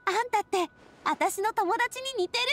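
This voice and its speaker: A woman's voice: extremely high pitch, moderate pace. A woman voicing a bold, breezy young female monster hunter in an anime game. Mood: cheery. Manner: breezy, upbeat. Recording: music behind the voice.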